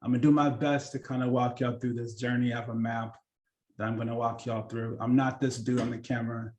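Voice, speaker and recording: A man in his thirties, born in Kenya and raised in Seattle, giving a relaxed, chatty career talk to students with lots of fillers and restarts. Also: medium pitch, fairly quick. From born in Kenya, grew up in Seattle. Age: 30s. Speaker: workshop presenter.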